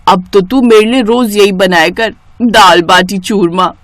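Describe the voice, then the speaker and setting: A woman's voice: mid-range voice, measured pace, dry recording, clean studio sound, close to an everyday voice. A woman voicing a rich lady employer in a cartoon story, effusive and emphatic, kindly giving orders to her cook. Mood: impressed. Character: rich female employer, pleased, effusive, bossy but kindly.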